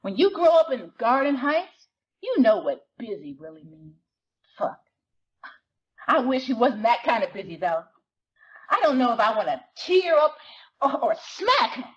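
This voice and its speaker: A wry, self-conscious teenage girl performed by a woman tells her story in expressive, slangy conversation. Her voice sits on the high side and moves around a lot. It is a dramatic novel reading, captured a little dull and muffled. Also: measured pace, long pauses. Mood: fond, frustrated. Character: self-conscious, wry sixteen-year-old girl narrating her life.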